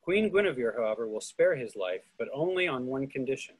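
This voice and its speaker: Man, husky, mid-range pitch, scripted stage directions, play reading, video call. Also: expressive, brisk, low-quality recording.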